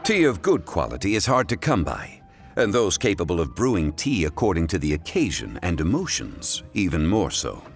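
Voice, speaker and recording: An actor playing a calm, erudite, old-fashioned gentleman in a video game, speaking in a formal, deliberate way. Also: low-pitched, exaggerated voice. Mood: patient, instructive. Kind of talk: scripted video game voice acting. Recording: game audio with music behind.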